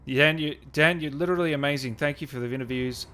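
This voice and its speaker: A man's voice: a little high, quick pace, pushed and bright. A man hosting a casual live stream, reading out comments and thanks in an enthusiastic, matey, informal way.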